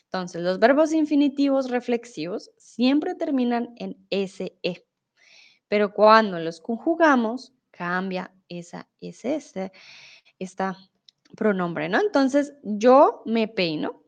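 A young woman with a Bogotá accent teaches Spanish on a live stream. Her voice sits a little high and she speaks slowly, explaining each point with patience. Now and then she stops to read viewer comments aloud. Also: lively intonation. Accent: Colombian, Bogotá. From Colombia. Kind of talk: live-stream language lesson reading chat.

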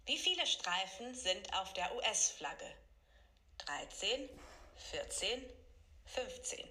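Woman, quiz announcer, slow, bright, evenly read voice. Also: mid-range voice, sing-song. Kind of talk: scripted announcing.

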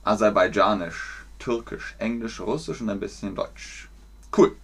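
A man teaching German in a live online lesson, encouraging and clearly articulated. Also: lowish voice, measured pace, fairly bright tone.

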